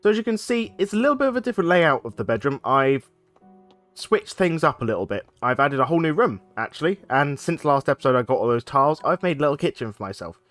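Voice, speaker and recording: A man's voice: on the high side, very animated, fast talker, fairly bright tone, no room sound, faint music behind. Stuffy-nosed man narrating gameplay. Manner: chatty, thinks aloud while playing. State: stuffy nose from a cold. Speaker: let's play gaming host. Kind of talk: casual gameplay commentary.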